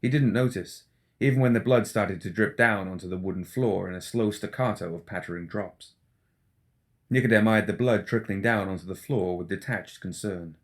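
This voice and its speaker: A man's voice: on the low side, normal speed. A man reading scripted fiction as an audiobook narrator. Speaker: audiobook reader.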